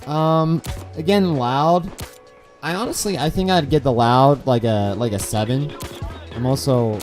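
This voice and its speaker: A man's voice: mid-range voice, unhurried, music in the background. A young man giving a casual, rambling game review, restarting sentences often and swearing, his throat dry so his voice cracks. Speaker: gaming video creator.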